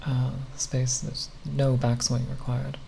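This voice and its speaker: A speaker giving an unscripted ASMR ramble in a halting, nerdy, hesitant manner with long pauses. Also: mid-range voice, level tone, vocal fry, dark tone. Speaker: ASMR content creator. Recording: faint background noise.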